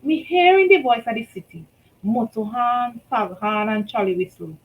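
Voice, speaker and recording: A Vincentian woman reading her own short story aloud, telling it in rhythmic Creole with lively shifts in loudness. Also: a little high, normal speed, narrow, tinny audio. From St. Vincent and the Grenadines.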